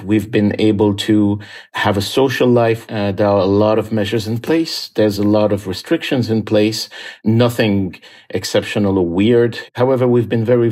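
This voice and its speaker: A man from Syria and Lebanon, an aid worker, speaking calmly and thoughtfully from a quiet home office, pausing often with "uh". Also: lowish voice. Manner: calm, thoughtful, with frequent "uh" pauses. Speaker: aid worker telling his story. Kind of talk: reflective monologue.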